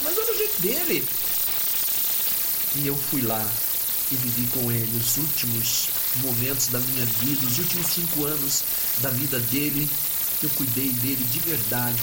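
A man in his fifties hosting a lunchtime radio show, speaking heartfelt words to his listeners and making emotional, preacher-like appeals with repeated lists. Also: medium pitch. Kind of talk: heartfelt on-air talk to listeners. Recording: noisy radio broadcast recording.

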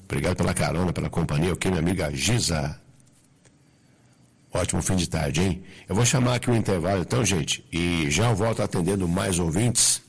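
Man, Brazilian accent, deep low pitch, husky and hoarse with a cold, folksy local radio host. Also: a little fast. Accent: Brazilian Portuguese. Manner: folksy, repeatedly checking in with listeners. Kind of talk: chatty live local radio hosting with sponsor reads.

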